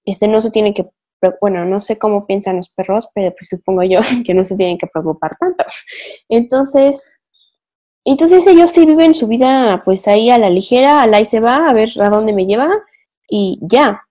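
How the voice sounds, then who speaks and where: A woman's voice: mid-range voice, normal speed, mellow. Young woman, podcast co-host, rambling casual chat, lots of fillers. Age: young adult. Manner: rambling, many fillers, thinks aloud.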